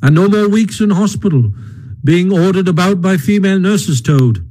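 A brisk, kindly water rat from a storybook, put on by a man reading aloud. His voice is raised a little and lilting, with a soft husk, coaxing and brisk. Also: high voice, expressive, voice pushed up. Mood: kindly teasing. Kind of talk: audiobook reading.

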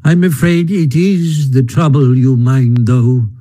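A man reading a storybook aloud and voicing a feeble, self-pitying male toad who talks in a faint, drawn-out, plaintive way. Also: medium pitch, fairly even intonation, dark tone. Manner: faint, drawn-out, mock-dying plaintive delivery.

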